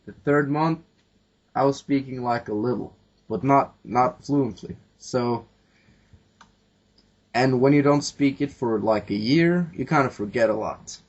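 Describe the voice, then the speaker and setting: A man's voice: mid-range voice, measured pace, long pauses. A man hosting a casual podcast chat, rambling along with plenty of fillers and casual swearing. His voice sits in an ordinary middle range, and the recording sounds a little dull and lo-fi. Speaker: podcast host.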